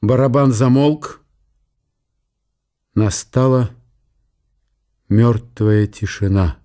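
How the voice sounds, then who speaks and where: A man's voice: medium pitch, very slow, dark tone, faint music behind. A man narrating a radio drama in a scripted, storytelling style.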